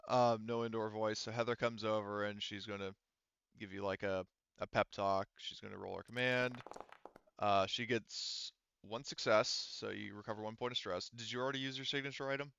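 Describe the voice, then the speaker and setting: A low-voiced man acts as game master, explaining scenes and rules casually. He pauses to think aloud. His voice is bright, and the dry recording is a little dull and lo-fi. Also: fairly even intonation, gravelly, clean tone, no room sound. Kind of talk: narrating an online tabletop roleplaying game.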